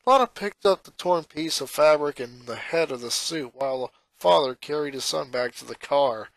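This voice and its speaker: A man's voice: a little high, moderate pace, vocal fry, a bit bright, dry recording. A man reading a story aloud as a scripted narrator. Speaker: fan fiction reader, narrator.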